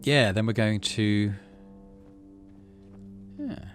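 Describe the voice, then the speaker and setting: A man's voice: lowish voice, extremely slow, faint music behind. A man hosting a video game playthrough, reading the story text aloud and adding casual commentary. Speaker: gaming channel host.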